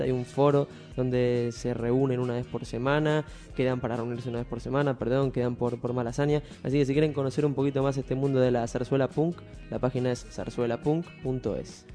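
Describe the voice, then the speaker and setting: Mellow, even-pitched Argentine man. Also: fairly quick, hardly any pauses, soft background music. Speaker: radio show co-host. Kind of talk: informal radio recommendations talk.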